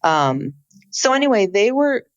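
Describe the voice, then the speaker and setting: A woman's voice: medium pitch, very animated, unhurried, dry recording. A woman co-hosting a casual podcast, earnestly explaining background and retelling what she saw. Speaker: podcast co-host. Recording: dull, dry, slightly lo-fi mic.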